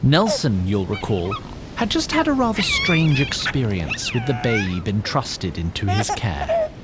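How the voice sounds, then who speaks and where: Expressive male storyteller over noisy ambience. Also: medium pitch, a little slow. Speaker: narrator. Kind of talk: scripted storybook narration for audio drama.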